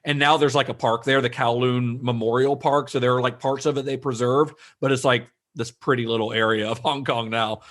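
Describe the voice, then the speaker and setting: A man co-hosting a film podcast in relaxed, chatty conversation, often restarting his sentences. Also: medium pitch, fairly quick, hardly any pauses. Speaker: podcast co-host. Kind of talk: casual film discussion.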